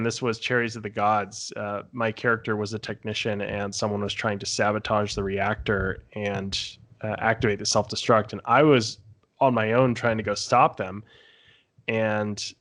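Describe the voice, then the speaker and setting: Guy with lowish voice rambling casually on a podcast, bit sweary. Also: fairly bright tone. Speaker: podcast guest or panelist. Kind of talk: casual chat recounting tabletop game sessions.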